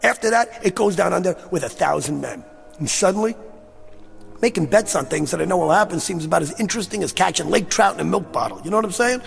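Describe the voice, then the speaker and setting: An actor playing a brash, slangy, fast-talking gambler with a gravelly voice in a radio drama. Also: male voice, high voice, lively intonation, soft background music, heavily acted. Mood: earnest, reflective. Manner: slangy, wisecracking, cajoling. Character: brash, fast-talking gambler, agitated man out of his time. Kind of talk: radio drama acting and first-person narration.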